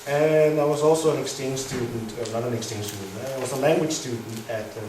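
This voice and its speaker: A young Danish man, a native Danish speaker, answering audience questions in English with many pauses and restarts. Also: medium pitch. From Denmark. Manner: hesitant, many fillers and restarts. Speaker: guest speaker. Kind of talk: live Q&A answers. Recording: echoey hall, mic far off, noisy.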